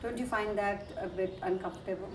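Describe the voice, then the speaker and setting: A woman's voice: mid-range voice, fairly even intonation, fairly quick, a little hiss or hum. A woman interviewing a guest with probing questions, often hesitating and restarting her sentences with fillers. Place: indoor room in a residential building. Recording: echoey room, archival video.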